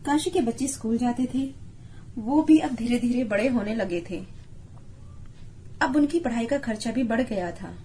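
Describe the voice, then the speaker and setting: Female storyteller, higher register, scripted moral-tale narration, faint ambient noise behind. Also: moderate pace, long pauses, warm tone.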